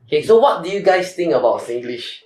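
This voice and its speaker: A man with a Singaporean accent hosting a casual chat podcast, relaxed and quick to laugh. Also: fairly high pitch, roomy sound, a little hiss or hum. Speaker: podcast host.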